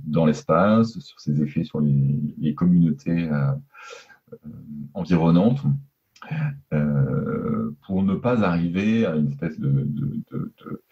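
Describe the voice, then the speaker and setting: A man answering as an academic, haltingly searching for words in a muffled recording. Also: low-pitched, dark tone, breathy edge. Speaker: historian interviewee.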